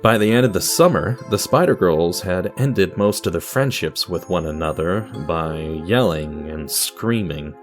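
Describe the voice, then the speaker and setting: A man's voice: low-pitched, measured pace, few pauses, music in the background. A man narrating a scripted fiction story in a dramatic manner, speaking to the listener as a storyteller.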